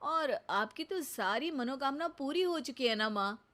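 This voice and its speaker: A woman voicing a caring young adult son in a cartoon, gentle and reasoning. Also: sits high, animated, a little slow.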